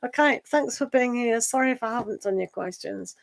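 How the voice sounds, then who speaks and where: A woman giving a live online tarot reading and losing her voice. She talks in a meandering, gentle way and reads the card texts aloud. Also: on the high side, a little fast, creaky. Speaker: tarot reader.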